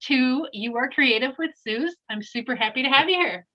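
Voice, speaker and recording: A woman's voice: sits high, normal speed, muffled recording. A woman hosting a casual interview podcast, upbeat and eager, asking open questions. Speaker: podcast host.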